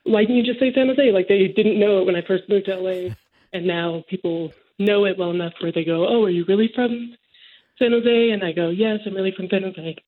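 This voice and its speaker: A man's voice: high-pitched, steady tone, brisk. A young man calling into a radio show, chatting casually with easy laughs. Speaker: caller. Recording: phone line.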